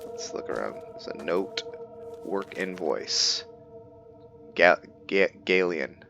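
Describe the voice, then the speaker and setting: A man's voice: low-pitched, lively intonation, takes it slowly, gravelly, bright, forceful tone, soft background music. A man giving casual let's-play commentary, thinking aloud and narrating his own moves as "we". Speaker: gameplay video creator.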